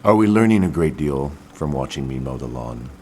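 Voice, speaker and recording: A man acting in an audio drama as a cool, taunting crime suspect, evasive and measured, with a husky voice. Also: a bass voice, animated, darkish tone, a little hiss or hum. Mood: taunting, coolly evasive. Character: cool, taunting male abduction suspect in the suburbs.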